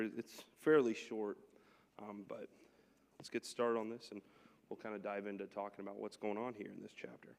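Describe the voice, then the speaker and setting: A man's voice: lowish voice, a little mellow. A man leading a church Bible class with prayer and scripture reading, speaking in a conversational, unpolished way. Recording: long hall reverb.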